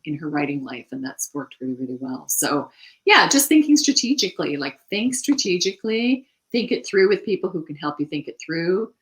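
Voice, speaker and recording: A middle-aged woman co-hosting a casual chat about writing, animated and quick to laugh, often restarting her thoughts. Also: lowish voice. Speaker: podcast co-host.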